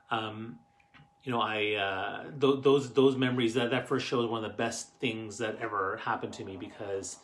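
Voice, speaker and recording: A middle-aged man with a Canadian accent, telling personal stories casually to camera in a rambling, halting way. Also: fairly low pitch, a bit bright, a little hiss or hum. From Canadian Maritimes. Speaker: host of a family stories show.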